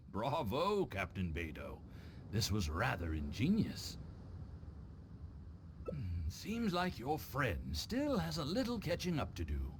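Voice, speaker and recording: A man's voice: mid-range voice, huge pitch swings, slow, pauses often, slight background noise. A man voicing a polite, knowing and shady business contact in a video game. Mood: amused, admiring. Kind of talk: scripted game dialogue.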